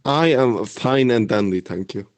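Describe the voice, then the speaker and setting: A man playing a cheerful, affectionate young wizard in a fan audio drama, speaking in a breezy, theatrical, gentlemanly way. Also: mid-range voice, lively intonation, unhurried, no room sound, natural voice. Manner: breezy, theatrical, old-fashioned gentlemanly phrasing.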